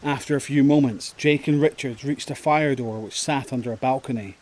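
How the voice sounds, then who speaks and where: A man's voice: medium pitch, brisk, darkish tone, rough recording. A man narrating a scripted audio drama as its storyteller.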